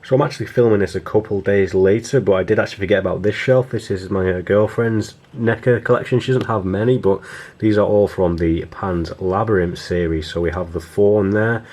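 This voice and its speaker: A man with a very low, hoarse voice that sounds worn out, as if he is losing it. He talks about his collection in a loose, rambling way and often restarts his sentences, like a casual vlogger showing off his shelves. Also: brisk, a little mellow. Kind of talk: casual show-and-tell of a collection.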